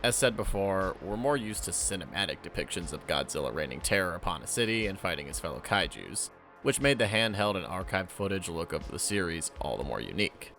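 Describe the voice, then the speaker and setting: A man's voice: low voice, sing-song, brisk, a bit bright, soft background music. A man narrating a scripted recap and review with wry asides. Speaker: guest co-commentator.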